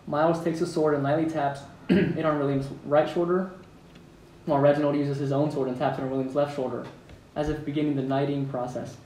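A man's voice: on the high side, darkish tone. A man reading stage directions aloud at an amateur script table read in a room at home, in a plain manner with some amusement. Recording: roomy, distant mic with background noise.

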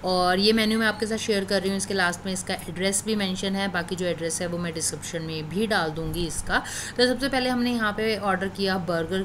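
A woman's voice: lowish voice, noisy background. A woman narrating a food review as a voice-over in a conversational, enthusiastic way, talking to viewers as "guys".

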